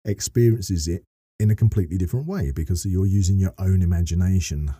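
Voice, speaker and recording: A middle-aged man with a very low, dark and slightly husky voice talks on a podcast. He explains things earnestly and at length, often rephrasing as he goes. The sound is close and dry. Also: quick pace, no room sound. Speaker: podcast guest, voice actor and narrator.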